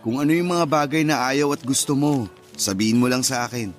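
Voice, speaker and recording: An actor plays an earnest young man courting in a radio love drama. His voice is mid-range, with casual, slightly tentative Taglish delivery. Gentle music plays faintly underneath. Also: medium pitch, fairly quick, lightly acted. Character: earnest, hopeful young single man courting old sweetheart. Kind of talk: radio romance drama dialogue.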